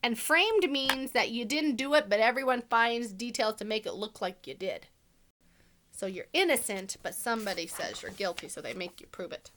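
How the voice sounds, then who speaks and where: High, bright-voiced woman teacher. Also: lively intonation, normal speed, a little hiss or hum. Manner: simple explanations, questions to listeners. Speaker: teacher reading aloud to children. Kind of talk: read-aloud with asides explained to children.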